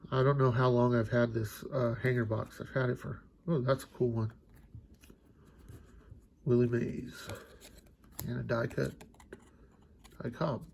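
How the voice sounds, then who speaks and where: A man's voice: medium pitch, fairly even intonation, a little slow, vocal fry, pauses often, darkish tone, a little hiss or hum. A congested, stuffy-sounding man opening card packs and chatting casually, musing aloud with lots of fillers. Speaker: card collecting channel host. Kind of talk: casual pack-opening commentary.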